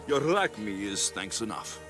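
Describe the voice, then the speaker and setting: A man's voice: on the low side, sing-song, measured pace. An actor playing a wry, dry-humored male mercenary hero. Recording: game cutscene with music.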